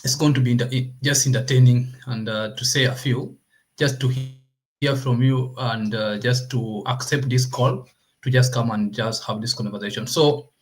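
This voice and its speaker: Halting Kenyan man hosting. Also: mid-range voice, steady tone. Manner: halting, repeats himself. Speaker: host of an online talk series. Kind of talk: informal interview hosting.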